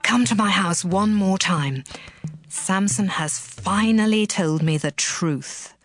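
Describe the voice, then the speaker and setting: A woman's voice: medium pitch, takes it slowly, soft background music. An actress playing a coaxing, scheming seductress in a Bible audio drama, drawing out her words. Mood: sly triumph.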